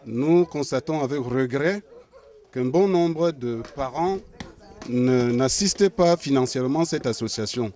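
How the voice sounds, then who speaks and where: A man with a Cameroonian French accent delivers a formal appeal to the camera from his neighborhood. He speaks very slowly and deliberately, his pitch sitting in a middle register. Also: dark tone. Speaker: local resident appealing for help. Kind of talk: public statement to camera. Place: outdoors in a neighborhood.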